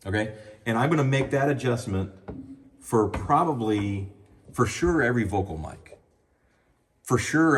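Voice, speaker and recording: A man giving a casual hands-on lesson on mixing sound, talking through what he does as he adjusts a mixing console and speaks into a handheld mic. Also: fairly low pitch. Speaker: audio trainer. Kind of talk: hands-on sound desk tutorial. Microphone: handheld microphone.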